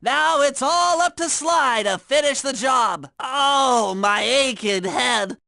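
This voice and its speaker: A bright, fussy turtle genius. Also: male voice, very high voice, slow pace, creaky, dry recording, studio quality, heavily acted. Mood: confident. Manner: precise, wordy, fussy technical talk. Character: nerdy, anxious young male turtle inventor and hacker. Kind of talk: video game voice acting.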